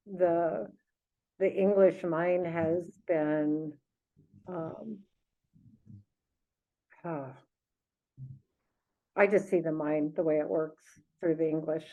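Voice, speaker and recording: A woman chatting casually as a podcast co-host, musing in a reflective way. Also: fairly low pitch. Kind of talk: casual unscripted conversation. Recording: thin phone-like audio.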